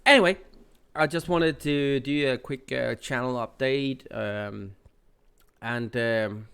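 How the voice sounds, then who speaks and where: A man's voice: mid-range voice, slow pace, gravelly, fairly bright tone, clean tone. A man hosting his gaming channel, sounding nasal from a cold, giving a casual, rambling update with many fillers.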